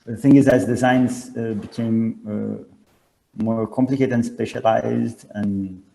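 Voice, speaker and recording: A man's voice: lowish voice, fairly even intonation, measured pace, creaky, warm tone, poor audio. A man speaking at a conference session as a researcher and co-facilitator, hesitant, with many restarts and fillers, over a video call.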